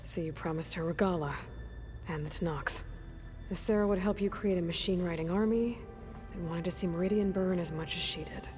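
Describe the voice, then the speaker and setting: Low-pitched, skeptical young heroine played by an actress. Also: female voice, fairly quick, darkish tone, close to an everyday voice. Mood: probing, skeptical. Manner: blunt, wry, probing. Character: guarded, skeptical, headstrong young heroine, wry and blunt. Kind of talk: video game dialogue acting. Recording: faint music behind the voice.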